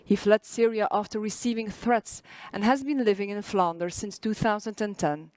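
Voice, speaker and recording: A woman from Poland presenting a poet at a festival, reading a prepared introduction in an even, measured way. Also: medium pitch, no room sound.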